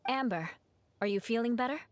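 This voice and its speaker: An actress playing a gentle, polite, weary young woman in a video game. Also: on the high side, lively intonation, fairly quick, a little hiss or hum, close to an everyday voice. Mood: caring.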